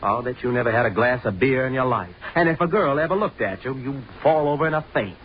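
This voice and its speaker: An actor playing a scornful young fraternity student in vintage radio drama, blunt, sneering and taunting. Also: male voice, medium pitch, quick pace, close to an everyday voice. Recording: muffled, hissy vintage radio recording.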